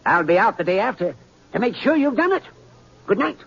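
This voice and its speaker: An actor playing a miserly, crotchety old western rancher, folksy and blustering, in old-time radio drama style. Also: male voice, sits high, big pitch swings, fairly quick, creaky, heavily acted. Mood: stern, callous. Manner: blustering, folksy, stammering when flustered. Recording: dull, noisy vintage radio recording.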